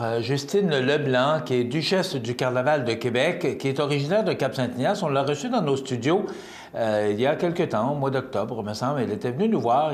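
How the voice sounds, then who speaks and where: Quebec man, local TV host, loose rambling delivery, roomy echoey studio sound. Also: mid-range voice. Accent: Quebec French. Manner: rambling, many fillers and restarts. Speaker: local TV morning show host. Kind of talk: casual on-air news chat.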